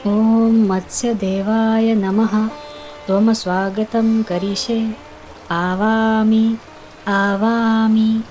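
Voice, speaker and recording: A woman voicing a sweet, polite little village boy with an earnest, childlike manner in an animated fairy tale. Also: on the high side, very slow, faint music behind. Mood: solemn chanting.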